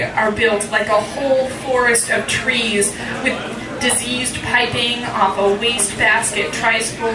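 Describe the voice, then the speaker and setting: A woman poet giving a live reading, deliberate in her phrasing and frank and informal in her delivery. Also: medium pitch. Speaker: poet, featured reader. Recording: echoey, distant mic, loud crowd noise.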